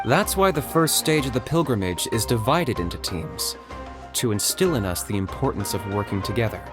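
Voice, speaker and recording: A man playing a calm, serious young warrior in a video game, measured and matter-of-fact. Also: fairly low pitch, natural voice. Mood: earnest. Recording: music behind the voice.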